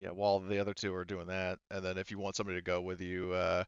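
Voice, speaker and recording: A man's voice: sits low, completely flat delivery, quick pace, clear, resonant tone, no room sound, lo-fi sound. A man casually game mastering a tabletop RPG in a hesitant, conversational, wordy way.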